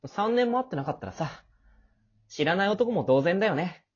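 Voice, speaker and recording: A woman playing a sweet, clingy, sensitive teenage boy in an amateur audio drama, with a breathy, tender, coaxing delivery. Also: fairly low pitch, expressive, moderate pace. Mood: hurt, hesitant. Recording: dull, lo-fi home recording.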